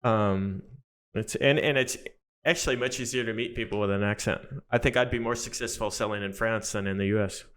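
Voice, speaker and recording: A man hosting an interview, asking brisk questions and chatting in an upbeat, friendly way. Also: on the low side, fairly even intonation, fairly bright tone. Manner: upbeat, quick rapport-building questions. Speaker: podcast host.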